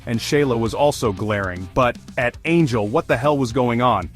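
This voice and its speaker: A man's voice: mid-range voice, moderate pace. A man narrating a first-person comedic fantasy story as a scripted audio series. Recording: music behind the voice.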